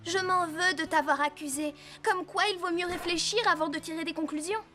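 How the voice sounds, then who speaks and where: A woman voicing a spirited, opinionated young girl in a French cartoon, pert and expressive. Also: very high-pitched, a little fast, background noise. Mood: sincere. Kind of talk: French cartoon dub acting.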